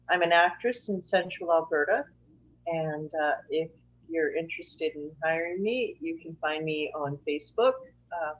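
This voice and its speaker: A woman's voice: low-pitched, highs cut off. A woman from Canada, an actress, introducing herself casually over a video call with hum.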